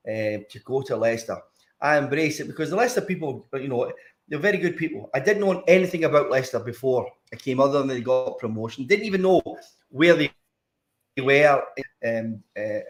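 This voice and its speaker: An older man with a broad Glaswegian accent answers questions over a video call. He speaks at an ordinary pitch, stopping and restarting often, with plenty of ums along the way. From Scotland. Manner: hesitant, many fillers and restarts. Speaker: guest, former footballer. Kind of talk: casual interview.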